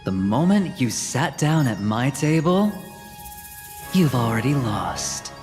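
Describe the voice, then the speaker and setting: In a long, ringing reverb over clear music, a smug young gambler from a video game. He is theatrical and taunting, swinging widely in pitch as he slowly lays out each line like a showman. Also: very animated, a little mellow. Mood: confident, taunting. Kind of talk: dramatic video game voice acting. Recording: reverberant hall effect, music underneath. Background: background music.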